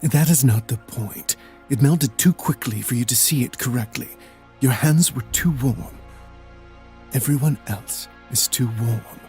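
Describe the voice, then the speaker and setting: A man's voice: mid-range voice, measured pace, darkish tone, faint music behind, lightly acted. A man playing an aloof, solitary lord of an icy castle in an audio drama, speaking in a curt, formal and exacting way. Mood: cold, dismissive.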